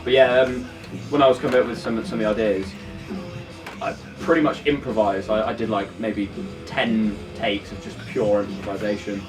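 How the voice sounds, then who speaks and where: A man, a band guitarist, chatting casually about his album in a halting way, repeating words as he goes. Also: fairly low pitch, steady tone. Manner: hesitant, repeats words, chuckles often. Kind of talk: casual chat. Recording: distant mic, guitar music behind. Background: band's album track playing.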